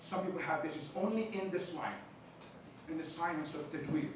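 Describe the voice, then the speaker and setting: A man from Palestine with a thick Arabic accent lectures as a chaplain, dropping teasing jokes between points. His voice sits a little high. The mic is some way off, so he comes through a booming, echoey room with clear background noise. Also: brisk, far from the mic. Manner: jokey, teasing asides between explanations. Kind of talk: seminar lecture.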